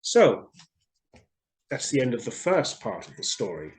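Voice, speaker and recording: A man's voice: medium pitch, sing-song, a little slow. A man reading a story expressively for children, in a theatrical and friendly storyteller's manner.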